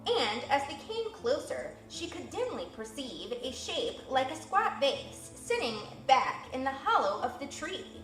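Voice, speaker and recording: A woman reads a storybook aloud in an animated, expressive way. Her voice is fairly high and bright, with lively pitch movement. The room is echoey, and faint music plays behind her. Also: a little slow, hardly any pauses. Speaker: reader.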